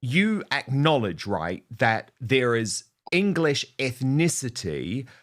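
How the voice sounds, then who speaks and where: A man's voice: medium pitch, very animated, slow. A man hosting a political talk show, asking his guests leading questions and affirming their answers earnestly. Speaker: show host.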